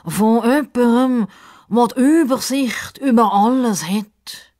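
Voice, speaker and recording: A woman voicing a self-important, dreamy male crocodile in a children's story, with a pompous, deliberate delivery and a Swiss German accent. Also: a little high, darkish tone, pitched above the performer's own voice.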